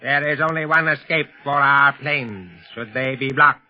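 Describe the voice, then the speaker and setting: A man's voice: mid-range voice, close to an everyday voice. An actor playing a cautious, formal henchman who serves a crime boss in a 1930s radio serial. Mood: cautious, deferential. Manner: formal, measured, subservient. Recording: muffled, dull 1930s radio recording.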